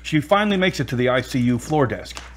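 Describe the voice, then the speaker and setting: A man's voice: medium pitch, animated, quick pace. A man reading scripted narration that sets out the action of an audio drama. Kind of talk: scripted narration of stage directions.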